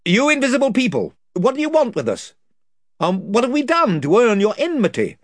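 A man voicing a young king who gives brisk, decisive commands. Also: extremely high pitch, huge pitch swings, no room sound, clean studio sound, voice pushed up, heavily acted. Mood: commanding.

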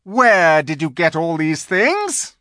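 A man reading a storybook aloud and voicing a cruel, imperious witch queen who barks harsh commands, raised far above his own pitch. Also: big pitch swings, slow pace, pushed and bright, no room sound, clean studio sound, full character voice. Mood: imperious, furious. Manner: commanding, harsh, shrieking when enraged.

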